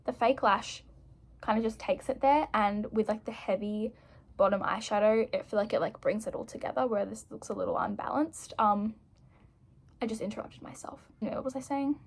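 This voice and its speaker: A woman's voice: a little high, a little fast. Chatty young woman, rambling and self-mocking. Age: young adult. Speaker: vlogger. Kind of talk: casual chat while doing makeup.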